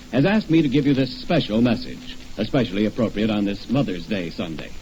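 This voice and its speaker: A man's voice: mid-range voice, darkish tone, lightly acted. Man acting a 1940s radio announcer, dull noisy recording. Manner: formal, stirring, patriotic. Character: earnest, upbeat 1940s American radio announcer.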